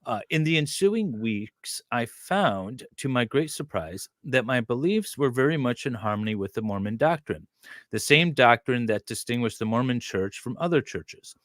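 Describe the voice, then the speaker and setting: A man's voice: medium pitch, animated, normal speed, a bit bright, dry recording, clean studio sound. A man co-hosting a livestream, reading aloud casually and breaking off for joking asides. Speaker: co-host of a true-crime livestream.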